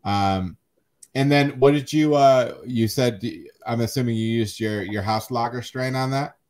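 A man's voice: medium pitch, measured pace, darkish tone. A middle-aged man hosting a podcast, talking casually and thinking aloud, often repeating words. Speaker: podcast host. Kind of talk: casual chat.

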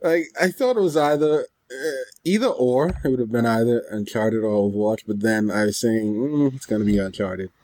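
A man co-hosting a podcast in casual conversation, thinking aloud in a halting way. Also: medium pitch, expressive, darkish tone. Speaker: podcast co-host.

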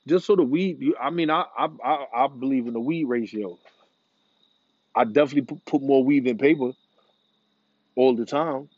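A man's voice: mid-range voice, darkish tone, husky, no room sound, muffled recording. A man in a casual, rambling monologue, laid-back and profane, full of slang. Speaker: vlogger. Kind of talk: casual monologue about smoking.

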